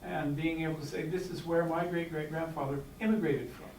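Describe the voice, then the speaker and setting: A fairly high-pitched man talks haltingly from the floor of a meeting, with plenty of fillers and restarts. He stands well away from the microphone. The room is echoey, and a faint hiss runs underneath. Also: fairly even intonation, a little mellow. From United States. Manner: hesitant, many fillers and restarts. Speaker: clan member speaking from the floor. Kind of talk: unscripted remarks at a meeting. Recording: echoey hall, distant mic, faint hiss.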